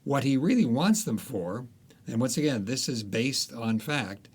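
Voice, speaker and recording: A male author, interviewed as a guest, recounting history and his book's plot in a storytelling way, with frequent pauses and fillers. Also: mid-range voice, big pitch swings, breathy edge.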